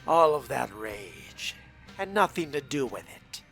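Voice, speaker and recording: A man voicing a haughty fledgling vampire in a condescending, theatrical game roleplay monologue, speaking deliberately and often dropping to a whisper. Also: mid-range voice, big pitch swings, vocal fry. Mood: menacing, superior. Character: haughty fledgling male vampire exploring a haunted hotel. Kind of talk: in-character roleplay monologue over a video game. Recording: music under the voice.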